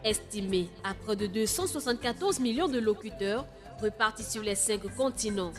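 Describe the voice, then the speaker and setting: A woman voices a scripted station promo in a measured delivery. Clear music plays under her. Key words come back as echoed repeats. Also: mid-range voice. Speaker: station jingle announcer.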